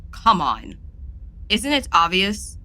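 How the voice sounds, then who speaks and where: A woman's voice: mid-range voice, sing-song, slow, sharp tone, exaggerated voice. A woman voicing an aloof, sardonic wise monkey who lectures, in an audio drama.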